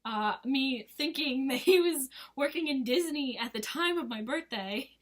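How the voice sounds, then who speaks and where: A woman in her twenties, hoarse from losing her voice, chatting casually in a rambling, giggly vlog. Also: sits high, animated, a little slow, fairly bright tone. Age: 20s. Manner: rambling and giggly, full of fillers. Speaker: vlogger. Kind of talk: casual chat to camera.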